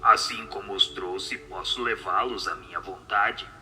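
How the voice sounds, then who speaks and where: A man's voice: sits low, unhurried, bright, forceful tone, faint music behind, pitched below the performer's own voice, full character voice. A man voicing a solemn, assured spirit who answers questions in a radio drama.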